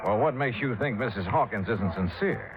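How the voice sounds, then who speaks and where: Wry radio detective with lively pitch played by a man. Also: moderate pace, lightly acted. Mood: probing, calm. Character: unflappable male insurance investigator, wry and probing. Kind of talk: 1950s radio detective drama acting, first-person narration. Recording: muffled old radio recording with music.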